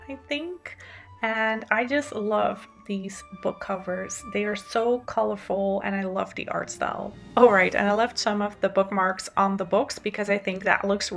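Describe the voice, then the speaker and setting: A woman's voice: mid-range voice, gravelly, fairly bright tone. A woman in her thirties with a Dutch accent, a native Dutch speaker, presenting casually and enthusiastically as a video host. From Netherlands. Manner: chatty, enthusiastic. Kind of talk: casual presentation to camera and voice-over.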